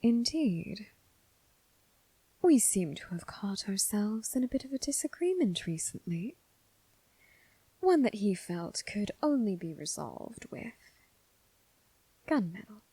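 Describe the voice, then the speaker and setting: A woman playing a commanding, teasing, coolly amused mob boss in an ASMR roleplay, husky and breathy, speaking with deliberate, condescending pauses. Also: big pitch swings, warm tone, dry recording, heavily acted. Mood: cool, menacing. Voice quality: husky, breathy, low, creaky. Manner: slow, deliberate, purring condescension with drawn-out pauses. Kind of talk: ASMR roleplay, scripted character acting.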